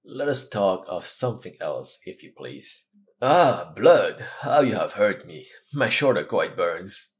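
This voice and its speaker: Guy voicing a courtly wounded swordsman, super low voice, formal, measured. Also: big pitch swings, vocal fry, a little mellow, full character voice. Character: proud wounded noble swordsman, gentlemanly, courteous. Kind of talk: audiobook character reading.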